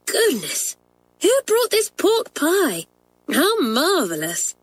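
A woman's voice: mid-range voice, sing-song, moderate pace, voice pushed up. A woman voicing a bubbly, giggly schoolgirl who talks in gushing exclamations. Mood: excited.

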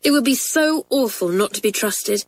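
A woman's voice: on the high side, lively intonation, normal speed, pitched above the performer's own voice. A woman voicing a proud but remorseful schoolgirl who speaks in a sincere, subdued way in a storybook reading.

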